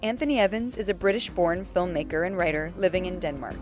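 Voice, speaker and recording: A woman's voice: fairly low pitch, fast, faint music behind. A woman reading scripted announcements as a podcast host.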